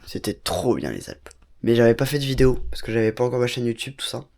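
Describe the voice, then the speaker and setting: A man's voice: medium pitch, lively intonation, fast, vocal fry, darkish tone. A man from Bordeaux with a cold, showing off his home studio in a chatty, enthusiastic vlog full of fillers and asides. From Bordeaux, France. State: has a cold. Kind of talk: casual vlog tour. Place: small home studio room.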